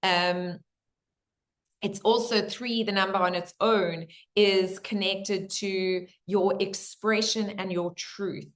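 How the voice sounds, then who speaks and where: Hoarse woman giving gentle spiritual guidance. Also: mid-range voice, fairly even intonation, takes it slowly. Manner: gentle, earnest, reassuring; addresses viewers as guys, many fillers. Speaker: spiritual coach.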